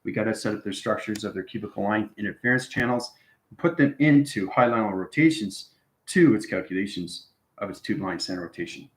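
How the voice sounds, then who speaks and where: A man giving an improvised esoteric lecture to viewers, instructing repetitively and often checking in with short tags like okay and right. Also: sits low. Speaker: spiritual channel host giving instruction. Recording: roomy, echoing sound.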